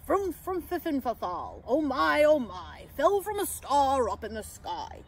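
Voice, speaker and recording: A woman voicing a kindly, excitable old man in a children's story, with a hearty, fatherly manner. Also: high voice, measured pace, heavily acted. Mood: gentle, curious.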